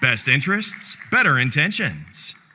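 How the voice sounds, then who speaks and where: A man's voice: mid-range voice, huge pitch swings, a little slow, background noise. A man announcing nominees at an awards show. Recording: music behind.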